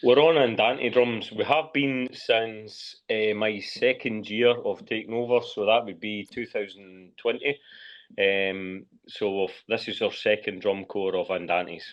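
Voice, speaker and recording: A Scottish man with a lowish voice chats as a podcast guest, the audio a little dull. His answers come out hesitant and matter-of-fact, in an informal conversational style. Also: steady tone, unhurried. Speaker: podcast guest, pipe band lead drummer. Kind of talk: casual interview chat. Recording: slightly dull, muffled audio.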